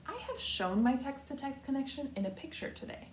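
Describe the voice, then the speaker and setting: An American woman, a kindergarten teacher, giving a read-aloud lesson to young children in simple, deliberate phrases with repetition. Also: high-pitched, animated. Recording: roomy echo, distant mic, faint noise.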